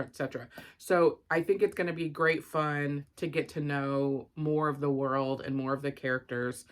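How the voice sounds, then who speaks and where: A woman's voice: sits low, a bit bright. A woman giving an informal, rambling book review and unboxing, thinking aloud with frequent asides.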